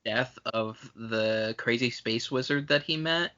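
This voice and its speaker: A man's voice: medium pitch, a little slow, a crowd in the background. A man co-hosting a podcast, casually debating a movie and reasoning out his argument aloud. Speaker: podcast co-host. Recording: dull lo-fi podcast audio.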